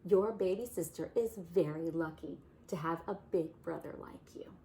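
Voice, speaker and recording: A woman voicing a calm, motherly duck nanny who talks softly and soothingly. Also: lowish voice, moderate pace, a little hiss or hum, lightly acted.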